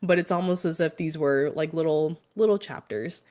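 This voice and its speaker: A woman hosting a podcast interview. She chats casually and warmly praises the guest's work. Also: sits low, normal speed. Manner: friendly, enthusiastic, with light laughs.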